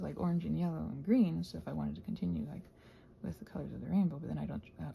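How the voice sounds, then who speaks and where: A woman chatting casually while crafting, soft and often dropping to a near-whisper, hesitant and thinking aloud, with playful little exclamations. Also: low voice, gravelly, dull audio, slight background noise. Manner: hesitant, thinking aloud, trailing off mid-sentence. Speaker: host of a crafting ramble channel. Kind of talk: casual rambling craft chat, ASMR-like.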